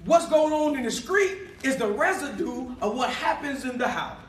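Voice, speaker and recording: A man preaching a sermon in a church hall, calling for responses and rising to shouts, recorded by a far-off camera. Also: very high-pitched, lively intonation, normal speed, a crowd in the background. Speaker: pastor.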